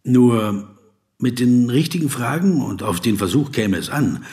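An actor in a radio play voicing a polite, persistent male interviewer who probes, flatters and gives deliberate literary asides. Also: mid-range voice, lightly acted. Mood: probing, pleading. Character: persistent, courteous male interviewer, flattering, observant, narrating into dictaphone.

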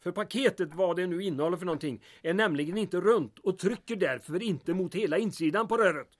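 Male actor as exasperated mayor, high voice, dry sarcasm, put-upon, audio drama. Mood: sardonic.

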